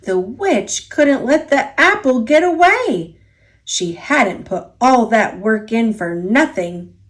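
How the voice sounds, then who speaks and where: A woman reading a children's picture book aloud as a storyteller, expressive and deliberate, drawing out key words. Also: a little high. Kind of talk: children's story read-aloud, scripted narration.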